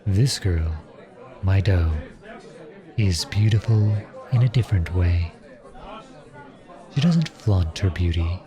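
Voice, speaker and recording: A man playing an earnest, lovestruck outlawed prince turned soldier in an audio roleplay drama, speaking softly and with measured pauses. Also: deep voice, expressive, a crowd in the background. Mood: tender, wistful.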